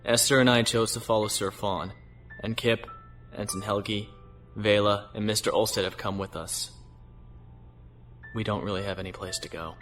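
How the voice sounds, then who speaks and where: A man acts a weary starship captain with a fairly low voice. He speaks earnestly and reflectively, as a principled leader in a space audio drama, with music behind him. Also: steady tone, normal speed, fairly bright tone, lightly acted. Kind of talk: science fiction audio drama acting.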